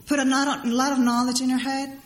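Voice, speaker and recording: A middle-aged woman from Iceland, giving personal testimony to a crowd in a halting, rambling way and repeating phrases for emphasis. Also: high-pitched, little pitch movement. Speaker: speaker at a recovery meeting. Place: large meeting hall before a crowd. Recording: echoey hall with background noise.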